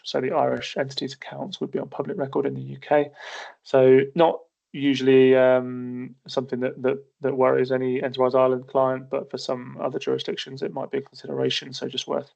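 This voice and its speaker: A man's voice: mid-range voice, creaky, a little mellow, dull audio. A man presenting a business webinar as an accountancy partner, methodically explaining with frequent small restarts while losing his voice. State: losing his voice, occasional coughs.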